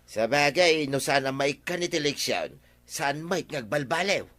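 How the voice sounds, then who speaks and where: A man's voice: a little high, animated, unhurried, fairly bright tone. A man with an Ilocano accent playing a persuasive village fisherman in a radio comedy drama. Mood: conspiring.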